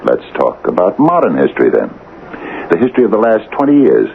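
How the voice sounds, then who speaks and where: An actor playing a troubled, fatalistic small-town mayor in a vintage radio drama, halting and brooding, often repeating himself. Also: male voice, deep voice, huge pitch swings, creaky, exaggerated voice. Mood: insistent. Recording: noisy lo-fi old radio recording.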